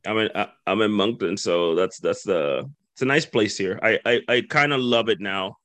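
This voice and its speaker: A man's voice: fairly low pitch, moderate pace, fairly bright tone, dry recording. A man from the Dominican Republic hosting a casual interview, talking in loose run-on thoughts and acting out quoted voices. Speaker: podcast host.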